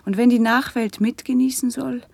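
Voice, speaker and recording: An actress playing a gentle, refined princess and patient sister in a classic German radio play, speaking measured lyrical verse in a soft, breathy voice. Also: female voice, on the high side, fairly even intonation, no echo. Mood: earnest, defending.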